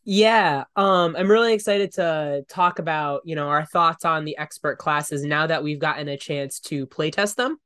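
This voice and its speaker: A man's voice: a little high, normal speed, few pauses, pushed and bright, clean tone, no echo, studio quality. A man co-hosting a tabletop gaming podcast, congested and a little nasal, explaining his views in a thoughtful, casual way. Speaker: podcast co-host. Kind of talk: casual chat.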